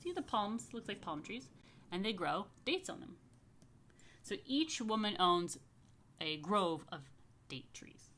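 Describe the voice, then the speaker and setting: A woman reading a picture book to young families as a rabbi, stretching key phrases expressively and slipping into chatty asides. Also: mid-range voice. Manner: expressive, slow emphatic storytelling with chatty murmured asides. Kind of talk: children's story read-aloud with casual asides.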